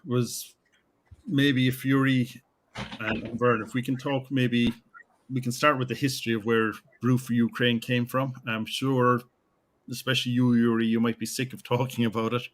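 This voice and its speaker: A man's voice: medium pitch, flat delivery, normal speed. A man with an Irish accent hosting a casual chat over a video call. He talks in a chatty, hesitant way with lots of fillers. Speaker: podcast host.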